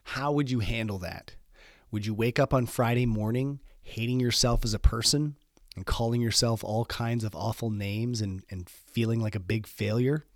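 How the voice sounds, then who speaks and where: A man with a cold hosting a solo podcast, his voice a little squeaky and stuffed up, talking in a relaxed, rambling way with many fillers. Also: normal speed, no echo, clean studio sound. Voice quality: slightly squeaky voice. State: congested from a cold. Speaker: podcast host.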